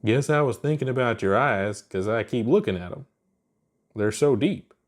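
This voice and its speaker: A man's voice: mid-range voice, moderate pace, lightly acted. A man voicing a mild, earnest young alien astronaut in a plain-spoken, unbothered way for a story. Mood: gently curious.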